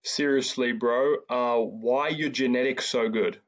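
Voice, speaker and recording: A male podcast host asking questions in a relaxed, slangy way. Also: medium pitch, a little slow. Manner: relaxed, slangy, says "bro". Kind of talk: casual interview questions.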